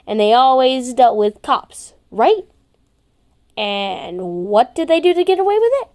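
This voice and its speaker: A woman's voice: a little high, lively intonation, measured pace, a little mellow. A woman narrating a story expressively as an amateur reader, sometimes stumbling and rereading words.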